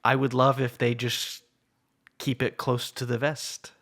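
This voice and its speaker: A man talking casually about films as a podcast co-host, in a halting, conversational way peppered with "like". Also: mid-range voice, level tone, a bit bright. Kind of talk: casual chat.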